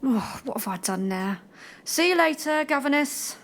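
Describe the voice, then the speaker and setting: A woman's voice: high-pitched, expressive, moderate pace, gravelly, a bit bright, heavily acted. A woman playing a breezy, obliging manor servant in an audio drama, informal and chirpy. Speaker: amateur actor. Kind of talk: community audio drama acting.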